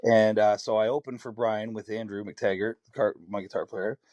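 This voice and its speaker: Raspy canadian guy, lowish voice, rambles and restarts a lot, dry close sound. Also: fairly even intonation, moderate pace, a little mellow, no echo, lo-fi sound. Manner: candid, rambling storytelling with many restarts. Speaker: singer-songwriter guest. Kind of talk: podcast conversation.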